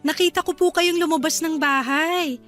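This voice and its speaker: An actress playing a polite, sweet young newlywed wife in a Filipino radio drama, with a Filipino accent. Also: female voice, very high-pitched, steady tone, moderate pace, fairly bright tone, faint music behind. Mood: polite, deferential. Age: young adult.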